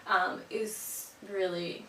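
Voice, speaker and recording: A woman's voice: medium pitch, steady tone, extremely slow, fairly bright tone. Sniffly, chatty woman. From Australia. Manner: chatty, gushing, many ums. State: sniffly with a cold. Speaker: vlogger. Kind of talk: casual product chat to camera. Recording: roomy, from a distance, faint hum.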